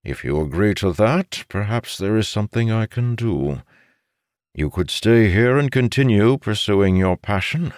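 A man voicing a weary, authoritative father of grown sons in an audiobook, stern and measured but placating. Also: fairly low pitch, animated, a little mellow, dry recording, studio quality, voice pushed up. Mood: firm, persuasive. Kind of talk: audiobook character voice.

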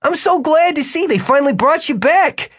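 Man putting on a breezy, high-pitched wizard boss. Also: lively intonation, moderate pace, a bit bright. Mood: feigned delight. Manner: effusively friendly.

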